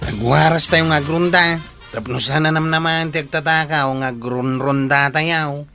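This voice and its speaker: A threatening, blustering village watchman from a radio comedy drama, acted by a man. His voice is a little high and sharply forceful, with faint music behind. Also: moderate pace. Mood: boastful.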